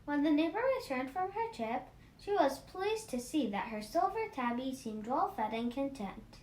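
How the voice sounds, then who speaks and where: A woman reading a children's story aloud in an expressive, animated manner. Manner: animated, acts out dialogue. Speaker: storybook reader for a children's book club. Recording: roomy echo, faint rumbling noise.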